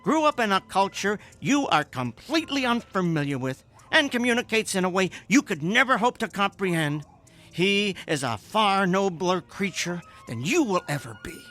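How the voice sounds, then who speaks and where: Henpecked scientist husband, high bright voice, lively swoops, earnest, loyal, defiant, radio play. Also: male voice, moderate pace, soft background music, full character voice. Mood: indignant. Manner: earnest, emphatic. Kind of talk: radio drama acting.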